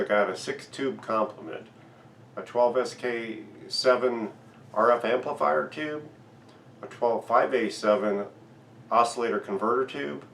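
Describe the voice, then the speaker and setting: A man in his sixties with a low, gravelly voice hosts a casual hobby vlog from his workshop. He talks in a plain, matter-of-fact way, with some noise in the background. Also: fairly bright tone. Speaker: host of a vintage radio restoration channel. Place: cluttered indoor home workshop.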